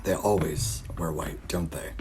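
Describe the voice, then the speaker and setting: A man playing a distraught, obsessive middle-aged father in an audio drama, haunted, halting and repeating himself. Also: very deep voice, steady tone, noisy background. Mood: uneasy, driven. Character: distraught obsessive father, a searcher recording his nightmare. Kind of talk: audio drama monologue and dialogue.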